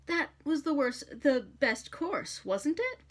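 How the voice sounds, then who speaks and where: A woman's voice: sits high, big pitch swings, takes it slowly, voice pushed up, full character voice. A woman voicing a fussy, polite male angel with a worried, earnest, hesitant manner in a storybook reading.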